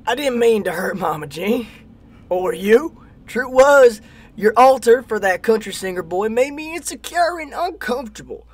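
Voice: mid-range voice, no room sound, natural voice. A performer playing a hapless, defensive country man in a comedic radio play, folksy and exasperated.

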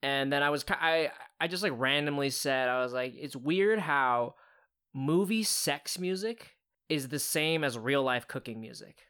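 A woman's voice: a bass voice, measured pace, a bit bright, clean tone. A young woman co-hosting a podcast in casual chat, rambling through stories in a laid-back way. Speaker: podcast co-host.

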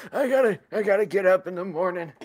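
A 22-year-old man co-hosting a podcast, talking in an animated, chatty way and often correcting himself mid-sentence. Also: high-pitched, moderate pace. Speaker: podcast co-host. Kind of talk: casual chat.

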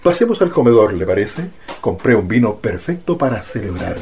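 A man playing an earnest, loving father in a radio drama, gentle, expressive and formally polite. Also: lowish voice, moderate pace, a little hiss or hum. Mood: courteous. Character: loving father of a blind girl, earnest, courteous. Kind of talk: Spanish-language radio drama acting.

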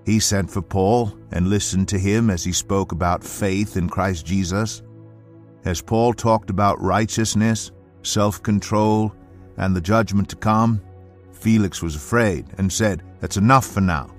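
A man with a fairly low voice narrates scripture in a measured, emphatic way. His delivery is solemn and dramatic, as in an audiobook, with faint music underneath. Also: steady tone. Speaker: Bible narrator.

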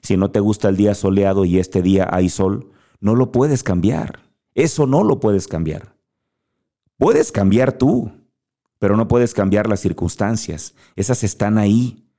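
A man's voice: fairly low pitch, unhurried, dark tone. A Mexican man hosting a podcast, giving an earnest motivational monologue straight to the listener. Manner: earnest, speaks directly to the listener. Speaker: podcast host.

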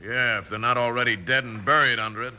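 A man's voice: medium pitch, steady tone, fast, loud music behind the voice, lightly acted. An actor playing a sullen, weary man who grumbles in folksy frontier speech in a 1950s radio western. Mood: uneasy.

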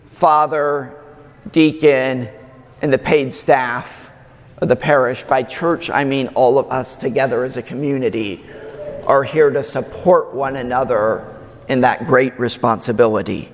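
A man preaching a sermon in a slow, measured way with emphatic pauses, his voice slightly raspy. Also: mid-range voice, steady tone. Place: large church. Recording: long church reverb.

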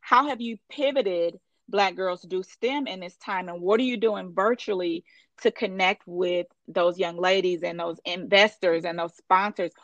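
A woman's voice: fairly low pitch, a little slow, dry recording. A woman hosting an interview, hyped and affirming, cheering her guest on with casual slang. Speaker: interview host. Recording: dull, dry lo-fi audio.

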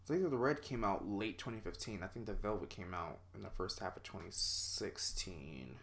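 Low-voiced guy rambling on his own podcast, lots of ums, kinda bright and forceful tone. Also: lively intonation, normal speed, creaky. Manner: rambling, self-deprecating, lots of ums and likes. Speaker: podcast host. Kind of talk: casual solo podcast monologue.

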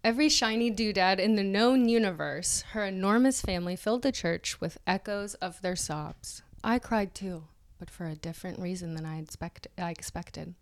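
A woman co-hosting a podcast reads an essay aloud with mock drama. Her voice sits at an ordinary middle pitch. She keeps slipping into laughter and side comments between the sentences. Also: moderate pace, hardly any pauses. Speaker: podcast co-host. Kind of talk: dramatic reading of an article with banter.

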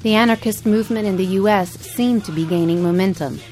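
A woman's voice: medium pitch, fairly quick, music in the background. A woman podcast host reading a scripted narration.

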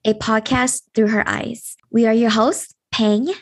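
A woman's voice: on the high side, level tone, unhurried. A woman co-hosting a podcast in casual chat, welcoming listeners and checking in on her co-hosts. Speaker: podcast co-host.

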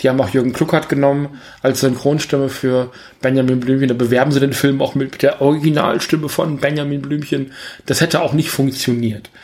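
Male podcast co-host, mid-pitched, loose and rambling conversational review style. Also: fairly even intonation, brisk, a little mellow. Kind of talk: casual film review chat.

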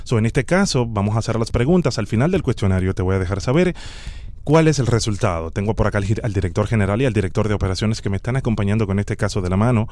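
A man's voice: on the low side, lively intonation, fast, darkish tone, natural voice. A man playing a formal, bureaucratic immigration officer in a prank phone call, sternly polite and officious. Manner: formal, officious, repeats the name.

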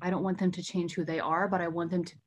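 Low-pitched American woman, animated and informal. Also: fast talker. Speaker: podcast guest, sales coach. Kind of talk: casual interview conversation.

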